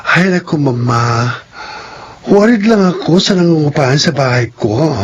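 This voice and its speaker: An actor playing a fussy salon worker who frets in a confiding way, in an audio soap drama. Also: male voice, on the high side, lively intonation, normal speed, husky, highs cut off. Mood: worried. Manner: fretful, confiding. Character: fretful, fussy salon worker worried about a lodger.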